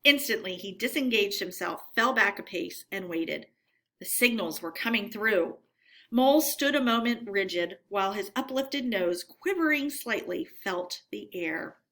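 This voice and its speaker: A woman narrating a storybook read-aloud in an expressive, measured, teacherly way. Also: mid-range voice.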